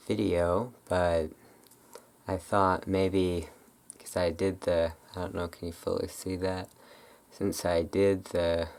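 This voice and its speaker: An ASMR creator chatting casually and unscripted, in a halting, rambling way that often breaks off mid-thought. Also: deep voice, darkish tone. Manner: halting, rambling, self-interrupting.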